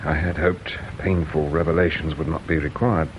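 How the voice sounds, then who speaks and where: An actor with an English accent playing a polite, patient, shrewd police inspector in a British radio drama, measured and courteous. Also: male voice, very deep voice, little pitch movement. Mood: grave, insistent. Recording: dull radio drama recording with hiss.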